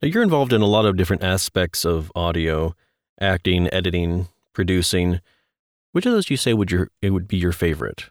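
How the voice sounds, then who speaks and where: A man's voice: deep voice, sing-song, fairly quick, no room sound, studio quality. A man co-hosting a podcast, putting questions to a guest in a conversational way. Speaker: podcast co-host, interviewer.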